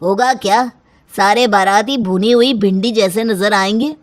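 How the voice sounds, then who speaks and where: A woman voicing a grumbling adult uncle in an animated Hindi comic story, complaining dramatically. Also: mid-range voice, unhurried, lightly acted. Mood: grumbling, pleading. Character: grumbling, heat-stricken adult uncle at a wedding.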